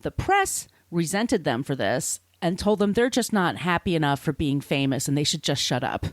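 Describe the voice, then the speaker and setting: A woman with an American accent and a slightly low voice that moves around a lot in pitch. She tells stories as a podcast host in an informal way, dropping wry asides, and the sound is close and dry. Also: animated, moderate pace, no echo. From United States. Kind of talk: conversational storytelling from notes.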